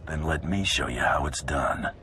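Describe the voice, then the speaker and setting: A seasoned bounty hunter with an extremely low, gruff voice. He is cocky and sardonic, delivering his game cutscene lines coolly over background music. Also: sharp tone. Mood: confident. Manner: wry, cool, unhurried. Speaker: player character in a video game. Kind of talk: video game cutscene acting.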